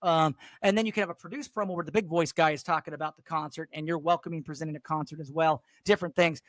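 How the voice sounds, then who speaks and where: Middle-aged radio guy with a high voice, chatty, dry close sound. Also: fairly even intonation, fast, fairly bright tone, no room sound. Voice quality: higher voice. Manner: chatty, storytelling, frequent restarts. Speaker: radio host. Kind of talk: casual chat.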